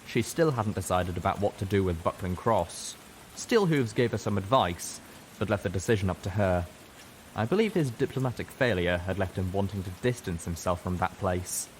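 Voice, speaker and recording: A man's voice: deep voice, expressive, normal speed, slight background noise, poor audio. A man reading a scripted first-person story as a dramatic narrator. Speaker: audiobook reader.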